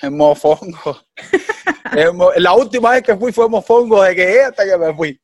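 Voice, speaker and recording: Casting: young Cuban man, Cuban accent, animated guest, slightly high voice, dry close sound. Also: moderate pace, no room sound. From Cuba. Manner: animated, heavy fillers like "tú sabes" and "¿me entiendes?". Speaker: guest singer. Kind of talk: casual interview chat.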